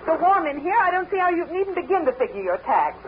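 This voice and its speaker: A woman's voice: extremely high pitch, very animated. A woman playing a practical, busy middle-aged mother with an American accent in a 1940s radio comedy. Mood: brisk. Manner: brisk, motherly, matter-of-fact. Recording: dull, noisy 1940s radio recording.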